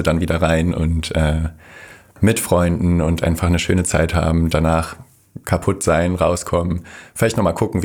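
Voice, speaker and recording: A young man from Germany, a podcast guest, chatting in a relaxed way and talking in loose lists. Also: deep voice, creaky. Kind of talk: casual interview chat.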